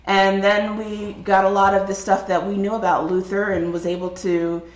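A woman's voice: mid-range voice, little pitch movement, normal speed, reverberant, rough recording. A woman hosting a podcast, sounding a bit nasal as she gets over a cold, talking in an upbeat, chatty way. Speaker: podcast host. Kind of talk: podcast intro and roundtable chat. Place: table at a film festival.

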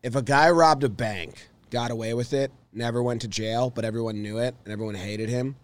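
Hoarse, joking male podcast host. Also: medium pitch, brisk. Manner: jokey, animated storytelling. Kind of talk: casual sports chat. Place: studio.

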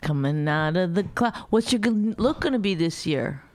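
A woman co-hosting a podcast, sarcastic and teasing with quick comebacks. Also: lowish voice, lively intonation, a little mellow. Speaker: podcast co-host. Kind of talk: casual banter podcast.

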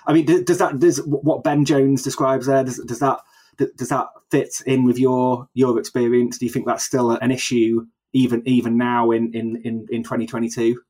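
A man's voice: mid-range voice, level tone, normal speed, darkish tone. A man from the English Midlands, hosting a casual news podcast, speaking hesitantly with many fillers and stammered restarts. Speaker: podcast host.

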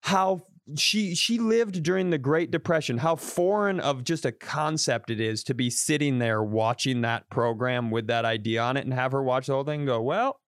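Animated swearing male podcast storyteller. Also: medium pitch, normal speed, few pauses, no echo, clean studio sound. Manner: animated, swearing, restarts mid-sentence. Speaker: comedian podcast host. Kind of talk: casual storytelling chat.

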